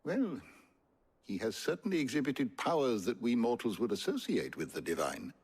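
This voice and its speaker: An actor playing an elderly, courteous scholar in a video game, speaking in formal, measured, wordy phrases with a gravelly, husky voice. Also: male voice, mid-range voice, very animated, a little mellow. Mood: wry, regretful. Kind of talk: dramatic video game acting.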